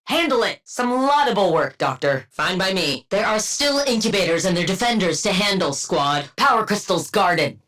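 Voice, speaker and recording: A woman's voice: medium pitch, lively intonation, moderate pace, hardly any pauses, a bit bright. A woman playing a haughty, sardonic scientist supervillain in a video game, with clinical, precise diction and dry, condescending wit. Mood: dry, commanding. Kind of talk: scripted game character voice lines.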